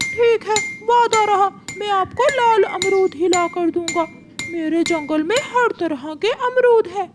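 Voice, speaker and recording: A woman's voice: very high voice, a little slow, heavy background noise. A woman voicing a hurt, sulky young male parrot with a whiny, pleading manner in a children's cartoon. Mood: insistent. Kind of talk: Hindi children's cartoon dialogue.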